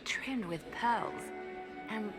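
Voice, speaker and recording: A woman voicing a shrewd, poised female spymaster in a video game, speaking coolly with a knowing, quietly sardonic edge. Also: medium pitch, very slow. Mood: wry. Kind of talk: video game cutscene dialogue. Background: orchestral music.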